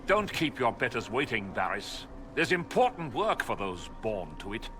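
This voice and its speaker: A man's voice: mid-range voice, very animated, normal speed, close to an everyday voice. Man playing a haughty, swooping nobleman, music behind. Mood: condescending. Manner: pompous, condescending. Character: haughty, impatient nobleman, sneering at underlings. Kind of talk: theatrical video game cutscene acting.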